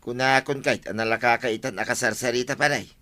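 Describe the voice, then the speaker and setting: A man's voice: mid-range voice, steady tone, fast, bright, forceful tone, close to an everyday voice. A man playing a cheeky, wheedling neighbour who asks favours, in an Ilocano radio comedy drama.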